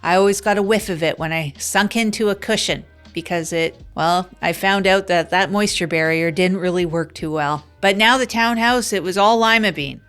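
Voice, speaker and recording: A woman narrating as a wry, down-and-out woman in an improvised comedy audio drama, rambling deadpan with self-mocking asides. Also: lowish voice, normal speed, few pauses, soft background music, natural voice. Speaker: narrator of the show. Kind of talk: improvised comic first-person narration.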